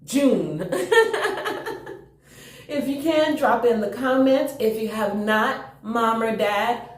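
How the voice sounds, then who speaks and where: A woman reading a children's story aloud with enthusiastic, expressive delivery and chatty asides to her young listeners. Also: fairly high pitch, slow pace. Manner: expressive, enthusiastic, chuckles often. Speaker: storytime reader. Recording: roomy, echoing room.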